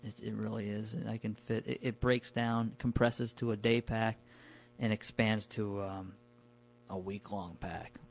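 A man's voice: on the low side, flat delivery, a little slow, vocal fry. Informal, colloquial man chatting. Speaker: podcast guest recounting his camping trips. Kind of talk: casual conversational storytelling.

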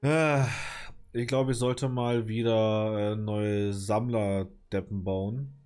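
A man with a cold commenting casually on his city-building game, hesitant and rambling with many fillers, clearing his throat now and then. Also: fairly low pitch, steady tone, slow, a little mellow. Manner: rambling, hesitant, lots of filler, mild swearing. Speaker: let's play gamer.

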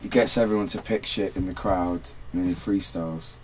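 A man's voice: low voice, fairly even intonation, fairly quick, a little mellow. A man in his thirties from England, a guest musician bantering playfully and swearing as he rambles through stories. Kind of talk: casual studio banter. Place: small music studio indoors. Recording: noisy room recording.

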